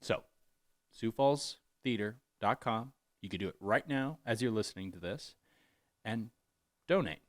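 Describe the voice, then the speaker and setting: A man hosting for a community theater, giving an informal donation appeal in a halting, jokey, folksy way. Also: lowish voice.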